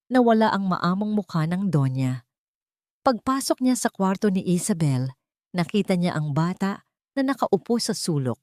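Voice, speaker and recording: A woman's voice: fairly low pitch, a little mellow, no echo. A woman storyteller narrating a dramatic first-person audio story in an earnest, emotional way, on a close studio mic.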